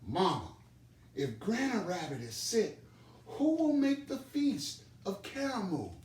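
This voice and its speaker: A man's voice: sits high, big pitch swings, slow, darkish tone, pitched above the performer's own voice, heavily acted. A man voicing an earnest, childlike little boy rabbit in a storybook reading. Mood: worried. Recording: roomy, noisy, distant microphone.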